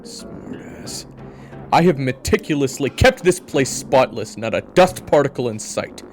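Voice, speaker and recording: A man playing a gruff, sarcastic and fastidious monster hunter in an audio roleplay, dry, scolding and blunt. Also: mid-range voice, expressive, faint music behind, voice pushed up. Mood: irritated, scolding.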